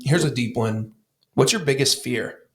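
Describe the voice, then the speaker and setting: A man's voice: medium pitch, moderate pace, a little hiss or hum. A man in his late twenties hosts a podcast and keeps things light. He asks playful questions and jokes along with his guest. His voice sits in a mid range. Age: 20s. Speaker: podcast host. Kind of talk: casual interview chat.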